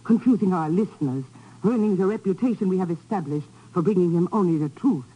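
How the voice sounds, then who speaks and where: An actress playing an earnest foreign radio station official, speaking formally and precisely in 1950s radio drama style. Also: female voice, on the low side, lively intonation, fairly quick, dark tone, husky. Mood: grave, insistent. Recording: muffled old 1950s radio recording.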